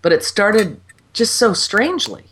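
A woman's voice: medium pitch, sing-song, unhurried, a bit bright. A woman co-hosting a casual chat, stuffy and congested from a cold, talking in a blunt, teasing way and swearing freely. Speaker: podcast co-host and radio presenter.

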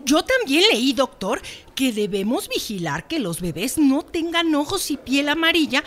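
A woman's voice: high voice, sing-song, moderate pace, a bit bright. An actress playing an attentive, concerned village woman with a Mexican accent, speaking earnestly in an educational radio drama. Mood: inquisitive.

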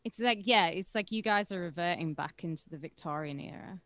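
A woman's voice: lowish voice, a little fast, dry recording. A woman co-hosting a podcast, talking brashly and with plenty of swearing. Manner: brash, profane, animated. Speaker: podcast co-host. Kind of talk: casual political chat.

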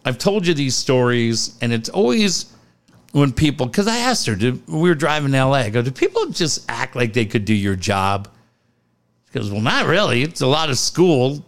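A middle-aged man co-hosting a casual podcast, telling profane, animated stories. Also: medium pitch. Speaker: podcast co-host.

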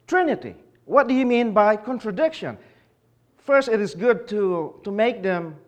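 Man from the philippines, conference speaker, slightly high voice, questions the audience. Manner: explanatory, asks the audience questions. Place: conference hall.

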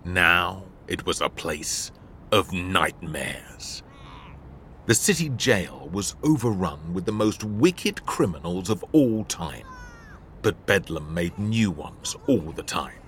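A man narrating a children's adventure audiobook in an expressive, theatrical way into a studio microphone. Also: sits low, takes it slowly, a little hiss or hum. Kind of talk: scripted storybook narration.

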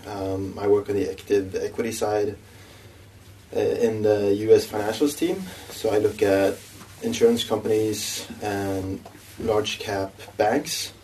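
A man's voice: fairly low pitch, fairly even intonation, a little slow, a little mellow, recorded from a distance, a little hiss or hum, rough recording. A young man with a Norwegian accent informally introducing his career as a podcast guest. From Norway. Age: young adult. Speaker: podcast guest, investment analyst.

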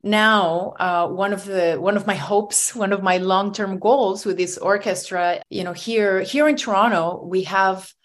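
A woman's voice: medium pitch, fairly even intonation, unhurried, hardly any pauses. A woman from Venezuela, a singer and bandleader, speaking earnestly in a radio interview, often restarting and saying "you know".

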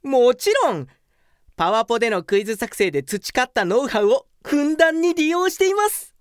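A woman's voice: high voice, very animated, normal speed, no room sound, studio quality. A woman playing an earnest, delusional young male office geek in a comedy radio drama, polite yet pompous and theatrical. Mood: smug, polite.

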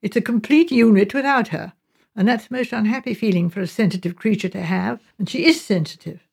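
A woman's voice: mid-range voice, brisk, creaky, a little mellow, husky. A woman voicing a spirited, outspoken young English sister in an audiobook, chatty, emphatic and teasing. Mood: indignant, pitying.

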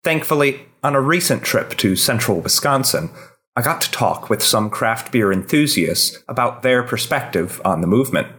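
A man's voice: medium pitch, lively intonation, moderate pace, fairly bright tone. A man hosting a podcast and reading a scripted personal essay aloud.

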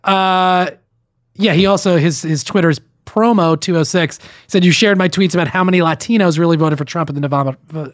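A man's voice: sits high, fairly quick, dry recording, clean studio sound. Man reading listener emails on a podcast. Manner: reads emails aloud with running commentary, frequent restarts. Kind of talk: casual podcast chat.

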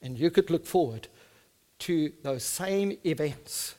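Afrikaans-accented man, middle-aged pastor, high tenor, earnest sermon, drops soft then rises loud. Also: expressive, slow pace. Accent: South African Afrikaans. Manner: earnest, swings from soft and hushed to loud and emphatic. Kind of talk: sermon with personal storytelling.

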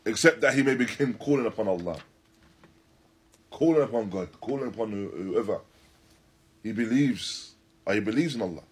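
A man teaching in a religious lecture, deliberate and emphatic, repeating and rephrasing his phrases as he explains a text. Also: fairly low pitch, lively intonation, breathy edge, low-quality recording. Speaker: religious teacher giving a lesson. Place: Islamic centre, live stream.

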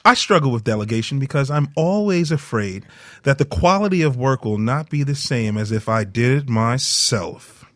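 A man's voice: mid-range voice, expressive, moderate pace, dry recording. A man from the Bronx co-hosting a casual radio talk show, playfully self-assured. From the Bronx, New York. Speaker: radio co-host. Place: radio studio.

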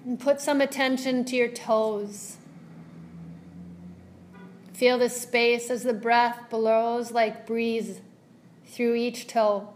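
A woman leading a guided meditation as a yoga teacher, speaking gently and soothingly in measured phrases with long pauses. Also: on the high side, fairly even intonation, slight background noise.

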